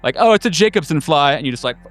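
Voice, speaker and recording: A man working as game master, improvising narration for a horror tabletop game in a halting, informal way. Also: a little high, expressive. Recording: music behind the voice. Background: background music.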